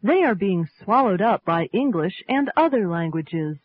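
A woman presenting a scripted English lesson, reading slowly with careful enunciation for learners. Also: mid-range voice, no echo. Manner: slow, clearly enunciated, lively intonation. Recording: tinny narrow-band radio sound.

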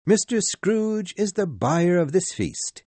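A man voicing a gentle, meek poor clerk and father who speaks soothingly. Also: high voice, very animated, slow, husky, no room sound, voice pushed up, full character voice. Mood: cheerful.

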